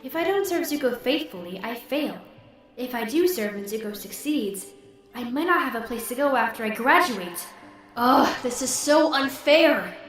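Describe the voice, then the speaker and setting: A woman's voice: fairly high pitch, expressive, moderate pace, a bit bright. A woman playing an anxious, earnest fourteen-year-old military trainee thinking to herself in an audio drama. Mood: distressed. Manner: fretful, earnest inner monologue. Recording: heavy echo on voice, music behind.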